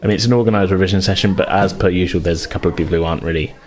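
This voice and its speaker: Man, low voice, game master, casual tabletop narration, informal, improvised. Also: fairly even intonation, rapid-fire, a little mellow.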